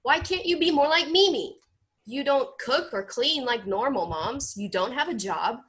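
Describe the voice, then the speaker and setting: An actress in a play reading, playing a scornful teenage daughter with a blunt, accusing manner. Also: female voice, high voice, animated, moderate pace, a bit bright, husky, heavily acted.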